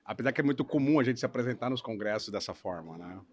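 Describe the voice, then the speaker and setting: A man from Brazil, a lawyer in his thirties, telling the story of his career in a relaxed podcast conversation, often checking in with "né?". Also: medium pitch, quick pace. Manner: relaxed storytelling, hedging with frequent "né?". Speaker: guest lawyer. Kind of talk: casual podcast interview.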